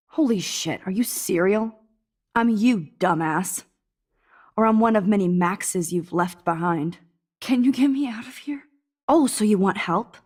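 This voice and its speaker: An argumentative teenage girl in a dramatic video game. Her voice is medium in pitch with a husky, breathy grain and an intense, confrontational edge. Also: a little mellow. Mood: distressed, defensive. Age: young adult.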